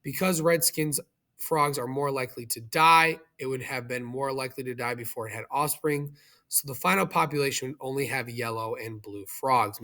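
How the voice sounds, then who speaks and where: A man's voice: mid-range voice, a bit bright. A man teaching in an explanatory video lesson, speaking directly to viewers and guiding them step by step. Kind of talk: explanatory video lesson, walking through a review question.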